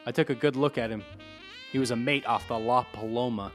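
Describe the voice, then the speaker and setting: An actor playing a wry, world-weary private detective in a 1940s noir radio drama, speaking in a clipped, laconic way. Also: male voice, medium pitch, steady tone, fairly quick, faint music behind, lightly acted. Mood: wry, hard-boiled narrating. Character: tough, wry hard-boiled private detective.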